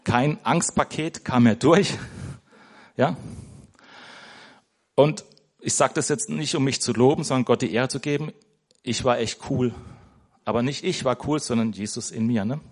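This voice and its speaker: Male lay preacher, mid-range voice, loose and conversational delivery in a church, stumbling over words and tagging lines with a quick question. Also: medium pitch. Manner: conversational, tags nearly every sentence with "ne?"; searching for words, restarting sentences. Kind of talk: informal sermon.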